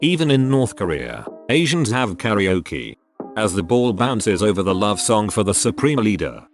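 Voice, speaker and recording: Lively low-voiced male narrator. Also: normal speed, faint music behind. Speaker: narrator reading online forum posts. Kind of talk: scripted narration.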